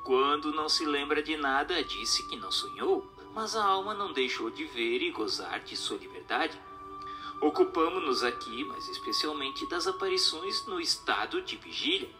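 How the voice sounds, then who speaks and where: A man delivers scripted narration in a formal, didactic way. His pitch moves in a lively manner, and music plays behind him. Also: moderate pace. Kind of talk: scripted audiobook-style narration.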